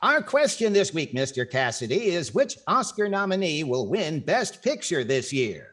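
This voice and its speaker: Lively, witty radio comedy host played by a man. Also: fairly high pitch, normal speed. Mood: wry, inquisitive. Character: dry, witty male comedy show host. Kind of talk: 1940s radio comedy acting.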